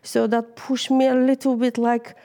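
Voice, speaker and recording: A woman's voice: a little high, level tone, normal speed, dark tone. A woman with a Bulgarian accent, whose first language is Bulgarian, giving an informal, hesitant talk to a church group. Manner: hesitant, many fillers. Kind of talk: informal sermon.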